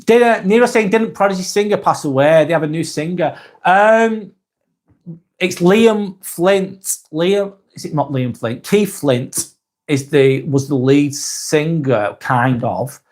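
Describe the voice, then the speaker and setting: A man from Lancashire with a Lancashire accent, hosting a casual live stream and reading viewer comments aloud in a soft, hesitant way. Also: on the high side, slow pace. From Lancashire, England.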